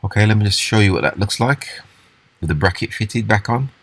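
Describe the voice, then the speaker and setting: A man with a husky voice giving a casual, unscripted, step-by-step walkthrough of hands-on repair work. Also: on the low side, expressive, a little fast. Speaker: repair demonstrator.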